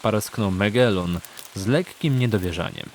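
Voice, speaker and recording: A man's voice: fairly low pitch, normal speed, a little mellow, slight background noise. A man narrating a fantasy audio drama in a scripted, dramatic storytelling style.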